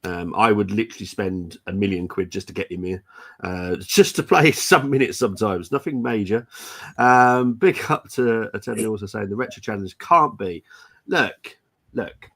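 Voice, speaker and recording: A deep-voiced man hosts a football stream in casual chat. He sounds congested from a cold and talks bluntly, with opinionated, jokey grumbling. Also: moderate pace, fairly bright tone. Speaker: football podcast host.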